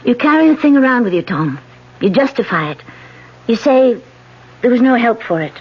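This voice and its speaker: A troubled politician speaks in a guarded, husky voice pitched a little high. Faint music plays under the dull old radio sound. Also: female voice, big pitch swings, moderate pace, heavily acted. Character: female politician hiding a dark secret. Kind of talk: 1970s radio drama acting.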